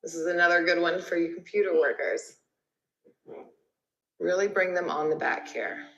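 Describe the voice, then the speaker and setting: A woman's voice: lowish voice, steady tone, normal speed, a bit bright, lo-fi sound, slight background noise. A woman leading a yoga class, giving step-by-step cues in an encouraging, conversational way. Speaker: yoga instructor. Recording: roomy echo with music behind. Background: music.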